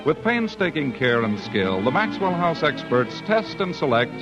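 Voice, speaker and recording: A man working as a radio announcer, reading a 1940s advertisement as a smooth sales pitch. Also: big pitch swings, normal speed. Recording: muffled old radio recording. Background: music under the voice.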